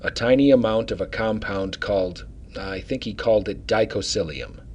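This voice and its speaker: An actor playing a male starship commander who speaks in measured, matter-of-fact orders with a husky voice. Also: lowish voice, darkish tone, dull audio, lightly acted. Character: steady male starship commander, acting captain. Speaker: acting captain.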